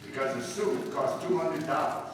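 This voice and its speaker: A man's voice: fairly high pitch, expressive, normal speed, close to an everyday voice. Chatty detective sidekick played by a man, very echoey. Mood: earnest. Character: chatty, homespun married male police detective sidekick. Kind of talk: 1950s radio police drama acting. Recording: distant, echoey hall with long reverb, hiss.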